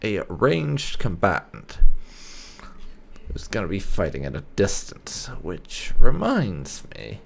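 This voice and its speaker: A man hosts a casual gaming playthrough in a deep voice with a creaky edge. He takes his time, thinking aloud as he plays. A little background noise sits under his commentary. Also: huge pitch swings. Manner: unhurried, musing aloud while playing. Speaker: gaming video host.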